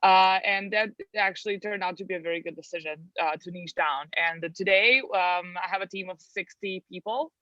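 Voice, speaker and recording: A woman's voice: lowish voice, normal speed, hardly any pauses, bright, forceful tone, dry recording. Woman, dull dry sound. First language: Serbian. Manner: filler-heavy, lots of "uh" and "like". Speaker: guest. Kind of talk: casual interview chat.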